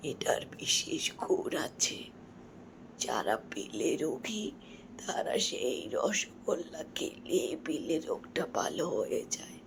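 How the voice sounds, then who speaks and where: Woman voicing a slow, creaky old granny. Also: a bass voice, full character voice. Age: older. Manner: slow, drawn-out storytelling. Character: slow, doting old granny who makes magical sweets.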